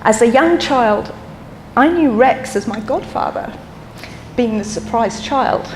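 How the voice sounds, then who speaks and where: A woman with a mixed London, Irish and Australian accent giving a heartfelt eulogy in measured, pausing speech. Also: on the high side, lively intonation, darkish tone, in a large hall, a little hiss or hum, low-quality recording. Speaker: eulogist, the deceased's daughter. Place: memorial service hall.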